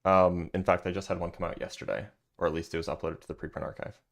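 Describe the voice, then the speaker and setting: Man, very low deep voice, livestream host, reads a paper aloud, chatty asides, lots of ums. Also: little pitch movement, quick pace, creaky. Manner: loose and chatty, many fillers, answers chat. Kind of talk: casual livestream, reading aloud and commenting.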